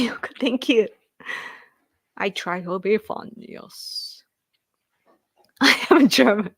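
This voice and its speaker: Japanese woman in her thirties hosting a livestream, unhurried delivery, dry close sound, giggly and chatty. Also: mid-range voice, a bit bright, dry recording. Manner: rambling, laughs often, thanks viewers repeatedly. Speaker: livestream host. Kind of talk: casual livestream chat reading viewer comments.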